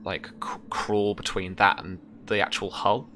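A man in his thirties narrating a tabletop game as game master, describing scenes haltingly and deliberately. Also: deep voice, level tone, a bit bright, slight background noise.

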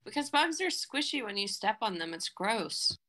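Woman playing a bright-voiced, curious little girl. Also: mid-range voice, sing-song, normal speed. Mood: playful, curious. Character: curious, innocent seven-year-old girl. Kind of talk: dramatic script reading.

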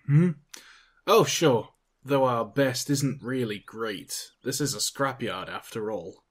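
A man voicing a gruff, blunt scrapyard foreman. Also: medium pitch, animated, slow pace. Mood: offhand blunt. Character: blunt working-class male scrapyard foreman.

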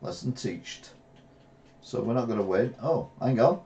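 A middle-aged English man streaming a retro racing game, reacting excitably and trash-talking rivals from a gaming chair at home. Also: medium pitch, unhurried, vocal fry, lo-fi sound, a little hiss or hum. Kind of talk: live gameplay commentary. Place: small room at home, seated in gaming chair.

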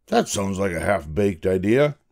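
An older man voicing a grumpy middle-aged husband who talks gruffly. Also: sits low, big pitch swings, moderate pace, a little mellow, full character voice.

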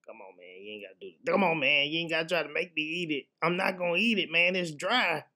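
A man's voice: on the high side, expressive, quick pace, bright, forceful tone. A man voicing an indignant male pet dog in a comedic animal voiceover, slangy, stammering and complaining. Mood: pleading, indignant. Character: indignant male pet dog talking back to his owner.

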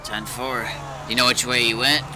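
A man's voice: medium pitch, level tone, normal speed. A man talking casually with other players during an online roleplay game. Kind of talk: casual chat while gaming. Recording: game voice chat with faint vehicle noise. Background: faint vehicle and game ambience.

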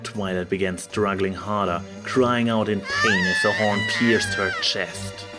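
A man's voice: lowish voice, huge pitch swings, measured pace. A man reading scripted fiction as the narrator of a dramatised story. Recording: music behind the voice.

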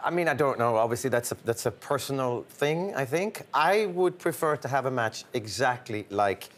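A middle-aged man gives relaxed tennis analysis in a TV studio, reading out statistics fluently. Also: medium pitch, moderate pace. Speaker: studio pundit. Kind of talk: sports analysis.

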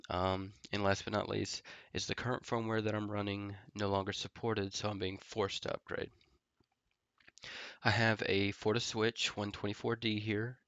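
A stuffy, nasal man with a cold, talking in a low, gravelly voice that stays fairly flat. He walks through a networking tutorial in a relaxed way, full of ums and small pauses. The sound is dry and a bit dull, like a desktop mic in a home office. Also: no echo. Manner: relaxed, filler-heavy explaining while typing commands. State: congested from a head cold. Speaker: network engineer tutorial host. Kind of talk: casual screen-recorded tech tutorial.

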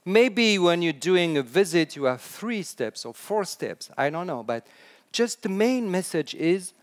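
A middle-aged man with a French accent giving an animated conference talk to an audience, chatty and now and then restarting his sentences. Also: sits high, a little slow. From France. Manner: animated, chatty, laughs at own jokes, some hesitant restarts. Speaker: conference speaker. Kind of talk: keynote talk on storytelling. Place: large indoor conference stage.

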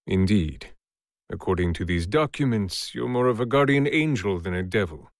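Low-voiced, skeptical dignitary. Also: male voice, animated, normal speed, dry recording, clean studio sound. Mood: bemused. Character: skeptical, frank male dignitary at a council. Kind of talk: dramatic English game dub. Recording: music behind the voice.

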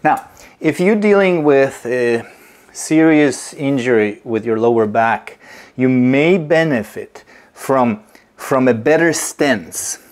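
Slow, deliberate man explaining in a kitchen. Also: mid-range voice, expressive. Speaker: movement teacher. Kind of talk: instructional demonstration. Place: home kitchen, indoors.

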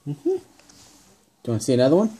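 Soft-spoken parent, gently quizzing a toddler. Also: mid-range voice, wildly swooping pitch, fast talker, slightly rough. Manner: gentle, asks simple questions, echoes the child's words, laughs softly. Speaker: parent looking at photos with a small child. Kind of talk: home video, casual talk with a toddler. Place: small room at home, indoors by a computer.

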